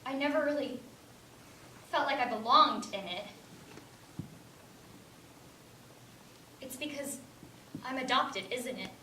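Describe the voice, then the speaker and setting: A distant, echoing recording with clear noise carries an actress as an earnest young woman on stage. Her voice sits a little high, and she speaks as a stubborn adoptee looking for answers. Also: moderate pace, sharp tone. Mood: hurt, hesitant. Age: young adult. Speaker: stage actor. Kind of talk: one-act stage play, dialogue and monologue.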